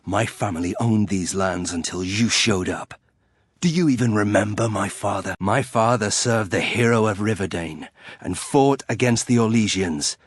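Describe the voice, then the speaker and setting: A man's voice: on the low side, moderate pace, no room sound, studio quality, close to an everyday voice. An actor playing a proud, brooding young nobleman turned reluctant warrior in a fantasy video game, formal, terse and bitter. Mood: bitter, resentful.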